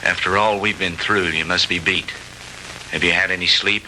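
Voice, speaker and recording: An actor playing a protective, determined captive in a 1960s B-movie, speaking earnestly and tenderly on an old film soundtrack. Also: male voice, deep voice, steady tone, moderate pace, sharp tone, a little hiss or hum, low-quality recording. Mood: weary, tender resolve. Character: protective, determined male captive plotting escape, apologetic to sweetheart. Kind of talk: 1960s B-movie drama acting.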